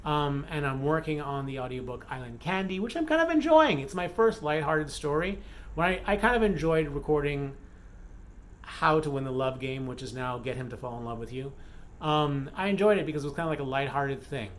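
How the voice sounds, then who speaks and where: A man from the Bahamas talks through a casual vlog update in a loose, rambling way. He fills pauses with ums and uhs and often restarts his sentences. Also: fairly high pitch, expressive, slight background noise. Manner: rambling, frequent fillers and self-corrections. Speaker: romance author and vlogger.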